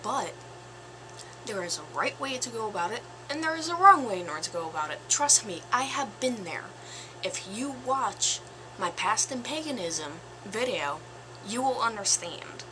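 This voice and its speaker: A woman in her twenties with a slightly raspy voice, giving her opinion in a casual vlog, slow and thoughtful with pauses and fillers. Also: mid-range voice, very animated, background noise. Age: 20s. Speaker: vlogger.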